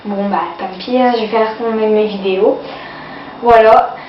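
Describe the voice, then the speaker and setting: A woman's voice: on the high side, steady tone, moderate pace, a little hiss or hum. Hesitant woman with a cold, echoey lo-fi video. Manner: hesitant, many fillers, keeps saying "voilà". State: has a cold, runny nose and cough. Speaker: vlogger showing sale purchases. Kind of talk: casual haul video. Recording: echoey, distant, dull lo-fi home video.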